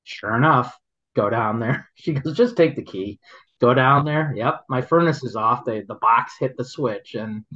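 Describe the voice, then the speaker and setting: A man telling stories in casual chat, halting and rambling, laughing often as he goes. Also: medium pitch, bright, forceful tone, muffled recording. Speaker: guest, local business owner.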